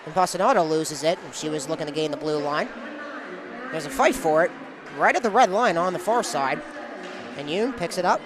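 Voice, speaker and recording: A man's voice: a little high, brisk, crowd noise. A man working as a play-by-play sportscaster, calling live game action in short, clipped phrases.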